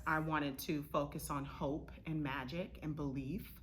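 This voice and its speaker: A woman's voice: low-pitched, fairly even intonation, a little slow, slight background noise. A woman from Florida, a theater director and actress, giving thoughtful answers as an interview guest. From Florida, United States.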